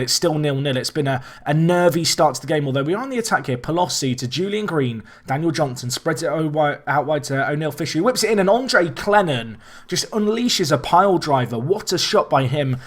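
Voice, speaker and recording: A young man with a slightly raspy, hoarse voice, chatting casually as he commentates his own football management game, rambling and restarting and getting excited at big chances. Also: medium pitch. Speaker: let's play host.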